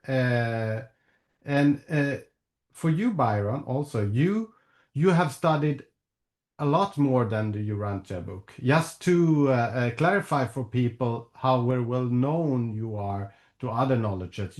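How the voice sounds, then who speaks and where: A man hosting a spiritual podcast over a video call, asking long questions and often restarting his sentences. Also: mid-range voice. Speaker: podcast host. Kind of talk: interview questions.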